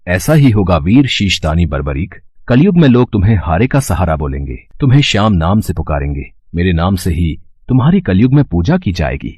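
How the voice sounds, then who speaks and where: A calm, all-knowing god played by a man speaks from a devotional tale in a deep, slightly rough voice. His delivery is stately and formal, with a wide swing in intonation that suits his shrewd authority. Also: fairly quick, hardly any pauses, no room sound, exaggerated voice. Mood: solemn blessing. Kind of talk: scripted mythological storytelling drama.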